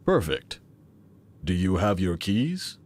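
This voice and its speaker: Slow, low-voiced, welcoming founder. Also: male voice, very animated, a little mellow, heavily acted. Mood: solicitous. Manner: unhurried, solicitous. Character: welcoming, solicitous male founder of a futuristic organization. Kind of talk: sci-fi audio drama acting.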